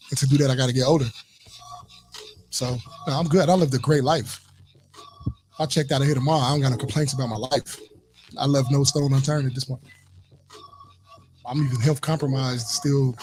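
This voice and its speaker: A man's voice: medium pitch, a little mellow, low-quality recording. A man in his forties on a casual panel chat, easygoing and joking. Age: 40s. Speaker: co-host.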